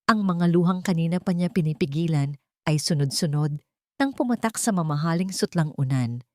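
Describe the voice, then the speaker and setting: A woman's voice: on the low side, animated, moderate pace, dry recording, studio quality. A woman narrating a sentimental scripted story as a storyteller, in a dramatic reading style.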